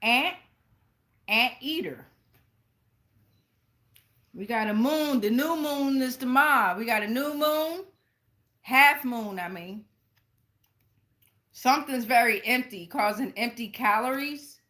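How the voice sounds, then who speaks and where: High, bright American woman reading tarot. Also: takes it slowly, long pauses. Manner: slangy, profane, rambling. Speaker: tarot reader, channel host. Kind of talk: casual live tarot reading.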